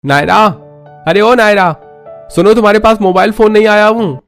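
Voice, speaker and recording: Man putting on a high, easygoing young man. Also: normal speed, a bit bright. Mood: cheerful. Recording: music bed under the voice.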